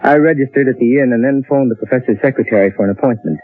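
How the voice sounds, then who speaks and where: Male actor as nervous thirties writer, earnest, mid-pitched, old radio drama, thin lo-fi sound. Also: very fast, soft background music, lightly acted. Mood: earnest recounting. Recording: lo-fi narrowband old radio recording.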